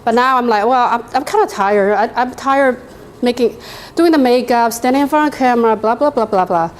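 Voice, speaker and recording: A woman with a non-native English accent presents a workshop to a live room. She runs a software demo, talks to the audience directly and laughs often. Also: a little high, a little fast.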